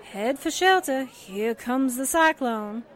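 A sardonic gal reporter played by a woman in a 1940s-style radio play. Her fairly high voice drawls out dry, deadpan wisecracks at a slow pace, with faint party chatter behind. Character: wisecracking, sardonic young newspaperwoman.

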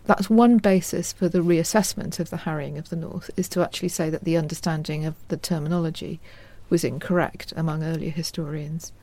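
A woman historian explaining her subject as an interview guest in a measured, scholarly manner. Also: sits low, steady tone, mellow.

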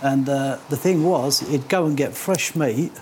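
A man's voice: medium pitch, measured pace, a little mellow, a little hiss or hum. A man in his sixties with a London accent, casually telling his life story in colloquial, sweary speech. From born in Cyprus, grew up in north London. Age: 60s. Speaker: interviewee. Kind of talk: casual oral history storytelling.

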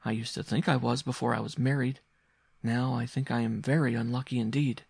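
A man voicing a bitter, disappointed mother explaining things to her child in a measured audiobook delivery. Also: mid-range voice, steady tone, creaky, a little mellow, breathy edge, no echo, clean studio sound, voice pushed up. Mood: resigned, bitter. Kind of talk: literary audiobook character voice.

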